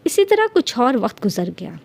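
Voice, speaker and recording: A woman's voice: on the high side, big pitch swings, normal speed, creaky, a little mellow. A woman narrating a scripted fairy tale as a storyteller.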